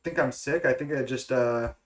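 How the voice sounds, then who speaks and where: Young man, stuffy nose, hesitant, lots of ums, casual game commentary, average pitch. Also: moderate pace. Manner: thinking aloud, hesitant with many fillers and restarts. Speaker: gaming content creator. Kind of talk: casual play-by-play of a card game.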